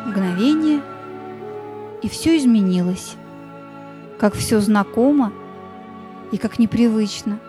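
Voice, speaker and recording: A woman tells a fairy tale slowly and with wonder. Her voice is mellow and a touch high, with faint music behind it. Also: lively intonation. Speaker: narrator. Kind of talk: scripted fairy-tale narration.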